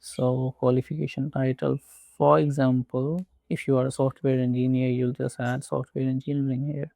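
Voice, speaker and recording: A man from Pakistan, speaking English as a second language, guides a screen walkthrough step by step. His voice is gravelly and mellow, with a flat, even intonation. The sound is dry and close, with no room echo. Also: mid-range voice. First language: not English. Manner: slow, methodical step-by-step instructions. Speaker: tutorial presenter. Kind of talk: screen-recorded walkthrough narration.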